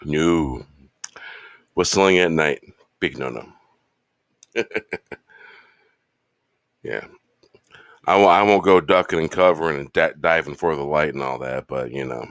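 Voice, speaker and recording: A man hosting his show in a laid-back, rambling casual chat, slightly hoarse from losing his voice. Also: extremely low pitch, measured pace, long pauses, fairly bright tone, no room sound, studio quality. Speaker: show host. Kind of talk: casual chat while drinking.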